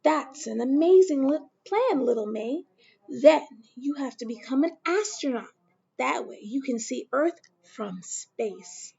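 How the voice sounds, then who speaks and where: A woman's voice: sits high, expressive, a little slow, gravelly, warm tone, slightly rough, muffled recording, full character voice. A woman voicing a young girl's encouraging mother, speaking emphatically in a storybook reading.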